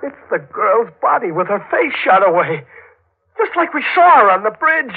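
Urgent young American bridegroom, tinny old radio. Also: male voice, sits high, sing-song, moderate pace, heavy background noise. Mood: horrified. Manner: urgent, clipped commands and reassurances. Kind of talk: 1940s radio thriller acting.

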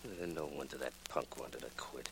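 Tough, sharp-voiced American nightclub boss. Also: male voice, a bass voice, normal speed, vocal fry, breathy edge, full character voice. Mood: defensive. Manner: slangy, curt, street-tough. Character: tough, defensive male nightclub owner. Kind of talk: 1940s radio acting.

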